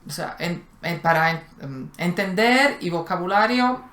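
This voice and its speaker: A woman's voice: lowish voice, animated, measured pace, fairly bright tone. Thoughtful woman, echoey room. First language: Slovak. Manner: thoughtful, hesitant, many fillers. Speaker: co-host. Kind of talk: casual conversation.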